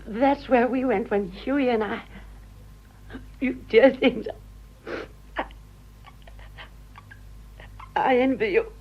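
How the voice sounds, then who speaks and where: A woman's voice: fairly high pitch, slow. An actress playing a wistful, worldly older English society lady in a radio drama, fond and fluttery, with a British accent. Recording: dull, muffled radio drama audio.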